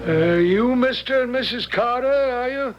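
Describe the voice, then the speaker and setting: High-voiced, folksy small-town sheriff. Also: male voice, a little slow, loud music behind the voice. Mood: matter-of-fact. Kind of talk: 1970s radio drama acting. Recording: dull old radio drama recording.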